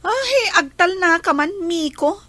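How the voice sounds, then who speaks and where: High-voiced young Filipino woman. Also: expressive, slow pace, bright, forceful tone, no room sound, exaggerated voice. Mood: warm, chatty. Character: dutiful young woman, eldest daughter, troubled in love. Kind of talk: Filipino radio drama acting.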